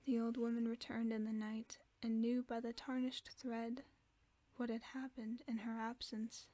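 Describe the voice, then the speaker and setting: A woman reading a fairy tale aloud for sleep in a soft, steady whisper. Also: a little high, a little slow. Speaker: ASMR storyteller.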